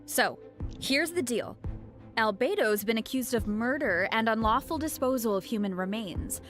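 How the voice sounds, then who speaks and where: A woman's voice: a little high, expressive, moderate pace, a bit bright. A woman presenting a program in a scripted conversational style. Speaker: presenter of a game special program. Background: music.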